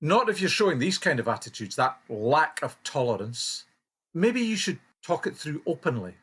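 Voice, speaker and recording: An actor playing a reasonable, concerned helpline volunteer in a naturalistic radio play, measured and quietly persistent. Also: male voice, a little high, sing-song, slightly rough, heavily acted. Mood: measured challenge.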